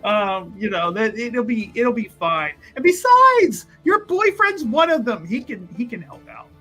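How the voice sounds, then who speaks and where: A tabletop player voicing a breezy, cajoling schemer in a halting, rambling way. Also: mid-range voice, very animated, pushed and bright, faint music behind, heavily acted. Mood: breezy reassurance. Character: breezy, cajoling schemer talking someone into a plan. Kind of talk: improvised tabletop roleplay, in character and narrating.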